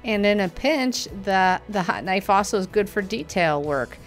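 A woman's voice: mid-range voice, animated, normal speed, music in the background. Chatty upbeat woman reviewing a tool. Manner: chatty and upbeat, laughs often, with frequent uh fillers. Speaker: prop maker reviewing a tool. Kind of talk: casual product review with voice-over. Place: home workshop studio, indoors.

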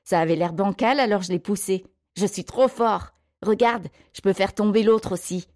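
A woman voicing a defiant, bratty young boy in an audiobook, shouting and whining. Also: mid-range voice, normal speed, voice pushed up, natural voice. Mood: defiant, boastful.

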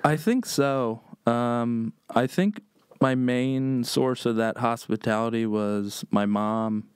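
A man's voice: mid-range voice, slow pace, clear, resonant tone, dry recording, studio quality. A man from Pennsylvania, a chef and podcast guest, telling family stories in a loose, rambling way with many fillers. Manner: rambling, many "um"s, laid-back storyteller. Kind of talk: casual storytelling.

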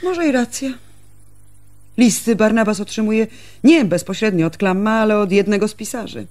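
An actress playing an earnest, brooding village woman, telling things in a husky voice with dramatic, deliberate phrasing in a radio play. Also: medium pitch, a little mellow, lightly acted. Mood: intense recounting.

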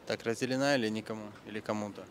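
A young man quizzing passers-by on a pedestrian street, asking quick teasing follow-up questions into a handheld mic. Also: on the low side, fairly even intonation, a little hiss or hum. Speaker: street interviewer.

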